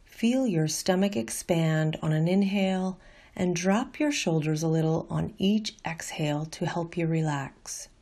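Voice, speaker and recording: Female meditation guide: slightly low, warm and relaxed tone, gentle unhurried scripted instruction.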